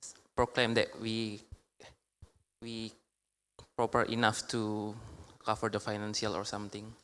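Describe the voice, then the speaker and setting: A man in a lecture audience asking a professor a halting question, searching for his words. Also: lowish voice, completely flat delivery, a bit bright, smooth. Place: lecture hall. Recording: long hall reverb.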